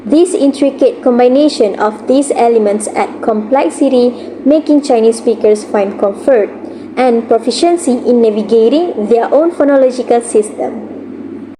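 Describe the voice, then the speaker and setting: A woman's voice: fairly high pitch, moderate pace, background noise. Woman reading a class presentation. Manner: steady scripted delivery with occasional slips. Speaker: student presenter.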